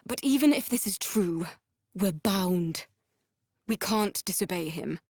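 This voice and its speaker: A woman voicing a formal, resigned female vampire spawn in a dramatic video game, with a grave, measured delivery. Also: medium pitch, animated, a little mellow, no echo, clean studio sound. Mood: grave resignation.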